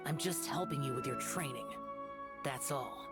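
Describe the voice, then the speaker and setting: A woman's voice: very deep voice, moderate pace. A woman voicing a stern, aloof young male warrior in a game, speaking curtly and lecturing. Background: game music.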